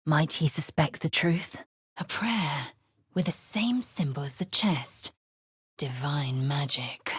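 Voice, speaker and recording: An actress playing a fierce, imperious alien warrior woman in a video game, husky, clipped, commanding and disdainful. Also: low-pitched, slow pace, a bit bright, dry recording, studio quality, full character voice. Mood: grave, recounting. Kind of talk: video game character voice lines.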